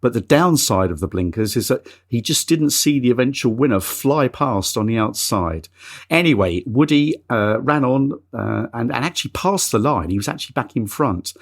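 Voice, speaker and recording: A man's voice: medium pitch, lively intonation, normal speed. A middle-aged man hosting a horse racing podcast in an easygoing, chatty way. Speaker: podcast host. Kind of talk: casual podcast chat.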